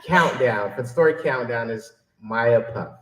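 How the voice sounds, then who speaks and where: A man presenting an award at a live event in a casual, halting way. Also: medium pitch, fairly bright tone, a little hiss or hum. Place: library event room with audience. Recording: echoey room, far from mic.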